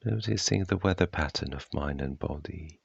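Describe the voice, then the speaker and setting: Very deep, creaky male meditation guide. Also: no room sound, highs cut off. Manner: gentle, unhurried, measured phrasing. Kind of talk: guided body scan meditation, slow instructions with long pauses.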